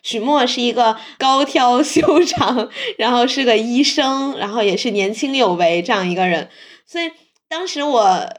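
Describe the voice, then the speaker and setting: A woman with a Mainland Mandarin accent and a fairly high voice chats as a podcast host. Her delivery is informal and anecdotal, like relaxed conversation between co-hosts. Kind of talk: casual chat.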